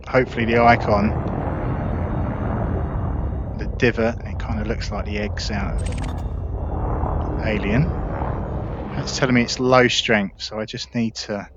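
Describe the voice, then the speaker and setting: A man's voice: lowish voice, fairly even intonation, measured pace, pauses often, highs cut off. A man giving casual gameplay commentary, chatty and thinking aloud as he plays. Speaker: gameplay streamer. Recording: loud engine noise behind. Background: loud spaceship game sounds.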